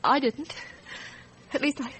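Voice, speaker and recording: A woman playing a frightened, distressed wife in a radio drama, recounting her story in a halting, hesitant way. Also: high voice, steady tone, a bit bright, low-quality recording. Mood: shaken, halting. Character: frightened, distressed wife testifying about a night shooting.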